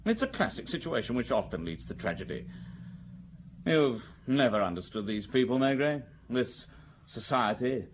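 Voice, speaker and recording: An actor playing an imperious, snobbish magistrate in a British radio drama, with a pompous, exasperated manner. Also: male voice, mid-range voice, very animated, moderate pace, close to an everyday voice. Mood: indignant, haughty. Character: impatient, snobbish examining magistrate, prejudging and imperious. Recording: noisy radio drama recording.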